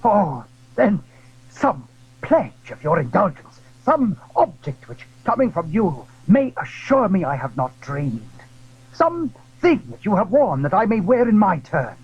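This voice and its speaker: A man's voice: high voice, very animated, a little slow, a little mellow, breathy edge, no echo, heavily acted. A man playing an ardent, lovesick English duke in an audio drama, speaking in florid, breathless, melodramatic phrases. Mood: yearning. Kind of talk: theatrical audio drama acting. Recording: muffled old audio drama recording.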